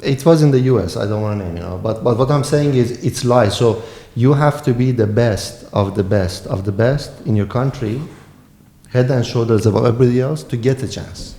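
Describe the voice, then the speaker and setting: Iranian-accented male coach, blunt and emphatic, mid-pitched, echoey room. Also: fairly quick, dark tone. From Iran. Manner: frank and emphatic. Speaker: football coach. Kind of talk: podcast interview.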